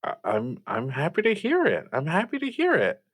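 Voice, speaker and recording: A man plays an eager high-school radio host in an audio drama. His pitch jumps up and down widely as he stammers along, polite and awkward, quick to get flustered. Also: wildly swooping pitch, husky, heavily acted. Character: eager, awkward teenage boy hosting a late-night radio show. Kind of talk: improvised audio drama as radio call-in show.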